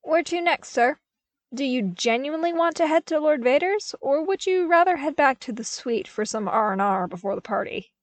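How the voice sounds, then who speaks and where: A woman's voice: high voice, animated, moderate pace, no room sound, voice pushed up. A woman voicing a cheerful, chatty young male trooper in an audiobook, jovial and casual. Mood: helpful. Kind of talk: audiobook character acting.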